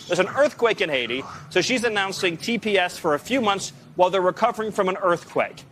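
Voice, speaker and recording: A man delivers political commentary in an indignant, forceful tone. His voice sits high and swings widely in pitch. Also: animated, fairly quick, slight background noise.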